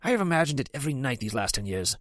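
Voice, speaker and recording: Man doing a potions master, grave, formal, pained, very fast, dry sound. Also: mid-range voice, big pitch swings, fairly bright tone, no room sound, pitched above the performer's own voice. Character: grave, guilt-ridden male potions master.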